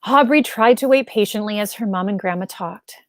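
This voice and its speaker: A woman reading a children's story aloud as narrator, in an expressive style. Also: medium pitch, brisk, no room sound, studio quality.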